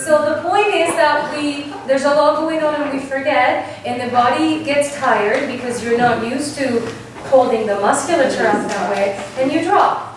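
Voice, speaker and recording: A woman from Argentina coaching a choir in a workshop, lively and encouraging, often breaking into song to demonstrate. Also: high voice, normal speed, a crowd in the background. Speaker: vocal coach. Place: large echoey rehearsal hall. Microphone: camera from far off. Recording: distant, roomy, noisy hall recording.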